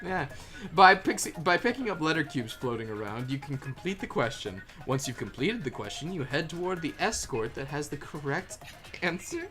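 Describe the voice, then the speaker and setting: A person chatting casually while playing a game and reading out its text, animated, sweary and easily amused. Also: mid-range voice, normal speed, soft background music. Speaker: player and fan dub voice reader. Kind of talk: casual gaming chat mixed with reading tutorial text.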